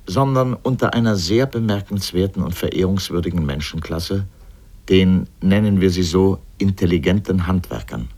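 A man delivering scripted literary commentary for a radio production, with deliberate, formal phrasing. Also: sits low, a little mellow, breathy edge. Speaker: narrator. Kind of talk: scripted documentary-like literary narration.

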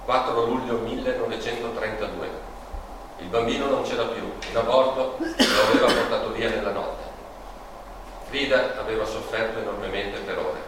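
A man playing a grave narrator in a stage drama, giving solemn, measured scripted narration. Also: fairly low pitch, close to an everyday voice. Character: grave male narrator recounting a painter's tragedy. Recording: echoey, distant, noisy stage recording.

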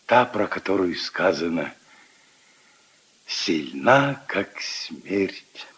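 A deep-voiced old grandfather in a 1950s radio play, slow and expressive. He tells his tales of love intimately and emphatically, wistful and full of conviction. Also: breathy edge, heavily acted. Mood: fervent, solemn. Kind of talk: 1950s radio drama acting.

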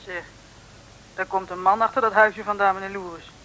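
An actress playing a prim, curious detective's assistant who asks polite, hesitant questions in an old comic radio serial. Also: female voice, medium pitch, steady tone, moderate pace, bright, forceful tone, natural voice, low-quality recording. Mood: alert. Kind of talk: 1960s Dutch comic radio serial acting. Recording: hissy old radio recording.

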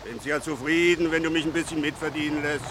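An actor in a 1950s German radio play, playing a teasing, ingratiating crony of an innkeeper. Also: male voice, fairly high pitch, fairly even intonation, quick pace, background noise, close to an everyday voice. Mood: wheedling. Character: wheedling, needling crony of the innkeeper, Ted Hawkins.

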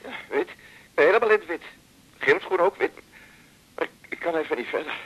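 A sheepish male doctor out jogging, in a radio play, heard over a telephone. He is out of breath and husky, fairly high for a man, and speaks in halting, self-mocking bits. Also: wildly swooping pitch, a bit bright, lo-fi sound, exaggerated voice. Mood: breathless, sheepish. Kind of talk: naturalistic 1980s radio drama acting.

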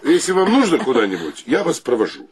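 An actor playing a vain, amorous, touchy gallant with florid, flirtatious speech in a radio comedy. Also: male voice, mid-range voice, wildly swooping pitch, brisk, a crowd in the background. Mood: gallant flirting. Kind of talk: theatrical radio comedy acting.

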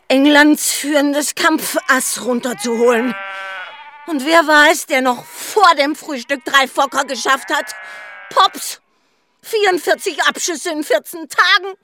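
A woman's voice: sits high, pushed and bright, a little hiss or hum, exaggerated voice. An actress playing a fervent, indignant woman spinning tall tales in a German radio drama, with emphatic delivery. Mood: excited, proud.

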